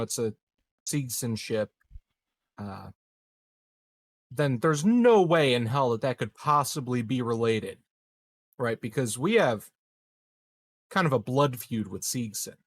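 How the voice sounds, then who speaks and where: A man's voice: medium pitch, lively intonation, a little slow, pauses often, no room sound. A man chatting casually as a player in a tabletop roleplaying game. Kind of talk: casual chat.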